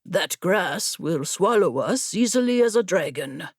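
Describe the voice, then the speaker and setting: A world-weary old samurai scout, acted by a woman narrator, speaking slowly and with measured caution. His bitterness gives the storybook dialogue a dour, stern edge. The sound is dry, with no room around it. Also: lowish voice, expressive, dry recording. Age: older.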